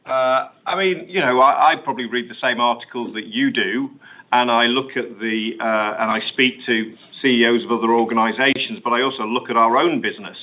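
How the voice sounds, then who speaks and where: A male company executive answering questions on an earnings call in a measured, explanatory way. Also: medium pitch. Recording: muffled phone-line conference call audio.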